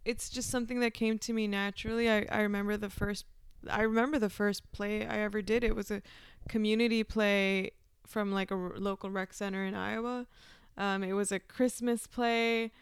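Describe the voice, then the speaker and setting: A woman from Pakistan, an actor, telling her story in a casual interview with thoughtful pauses and many ums. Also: mid-range voice, level tone, clear, resonant tone, dry recording, clean studio sound.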